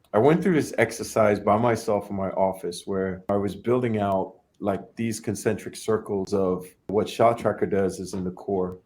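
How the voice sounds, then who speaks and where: A man's voice: on the low side, a little mellow. A young adult man from Trinidad and Tobago, a startup founder talking casually as an interview guest, often repeating and restarting his words.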